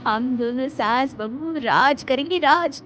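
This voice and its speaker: A woman's voice: very high voice, big pitch swings, a little slow, pitched above the performer's own voice, heavily acted. A woman voicing a young, breezy, vain daughter-in-law in an acted children's story, with a chirpy, boastful manner. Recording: dull audio under loud music.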